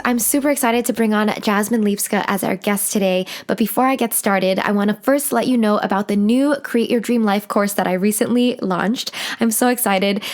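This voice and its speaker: Upbeat woman hosting a podcast. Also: mid-range voice. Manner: upbeat, enthusiastic. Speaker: podcast host. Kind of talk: podcast interview.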